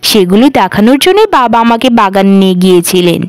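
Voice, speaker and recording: A woman voicing a gentle, dutiful youngest daughter, earnest and conciliatory, in a cartoon. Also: mid-range voice, sing-song, fast, clean tone, no echo, natural voice. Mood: apologetic. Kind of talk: cartoon voice acting.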